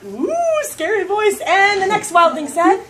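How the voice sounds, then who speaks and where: A woman's voice: very high voice, sing-song, measured pace, crowd noise. A woman telling an interactive story to young children in a theatrical manner, prompting them to act along. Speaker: storyteller leading a children's puppet show.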